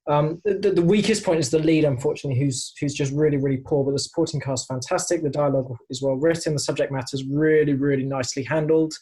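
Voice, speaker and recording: A man's voice: mid-range voice, a little mellow, breathy edge. A man giving recommendations in a casual podcast chat, thoughtful and a little hesitant. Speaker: podcast panellist.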